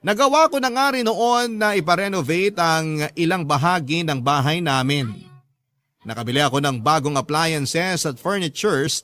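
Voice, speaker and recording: A man's voice: fairly high pitch, fairly bright tone, dry recording, lightly acted. A man with a Filipino accent playing a devoted grown child who narrates a life story in a radio drama, earnest and sentimental. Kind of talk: scripted first-person narration in radio drama.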